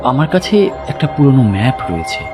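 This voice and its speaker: A man playing an anxious, talkative local gentleman who explains things conversationally in an audio drama. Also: mid-range voice, very animated, moderate pace, music in the background, natural voice. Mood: worried, urgent.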